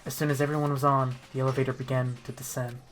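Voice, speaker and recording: A man's voice: mid-range voice, level tone, quick pace. A man streaming a video game, chatting casually, joking and swearing, and reading the story aloud. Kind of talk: casual playthrough chat and narration. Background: game music.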